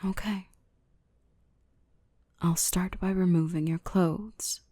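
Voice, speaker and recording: A woman playing a gentle, protective dark elf mistress in a soothing, reassuring ASMR roleplay, often whispering. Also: on the low side, fairly even intonation, slow, no room sound, clean studio sound. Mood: tender, reassuring.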